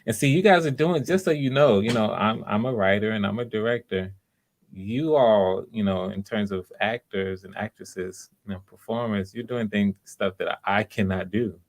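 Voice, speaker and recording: A man with a low register and heavy creak hosts an online audition session. He talks casually and haltingly, repeating phrases and heaping encouragement on people as a director would. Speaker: audition host, writer-director. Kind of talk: casual live-stream chat. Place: online video call.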